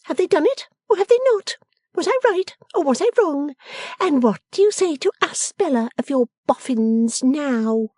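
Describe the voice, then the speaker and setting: Shrill, pert young sister. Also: female voice, lively intonation, moderate pace, darkish tone, no room sound, clean studio sound. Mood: triumphant, challenging. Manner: exclaiming, challenging, melodramatic.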